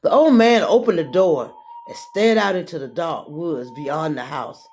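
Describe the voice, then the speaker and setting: A woman reading a children's story aloud in a dramatic, deliberate way, drawing out her phrases. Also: deep voice, slight background noise. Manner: deliberate, expressive, drawn-out phrasing.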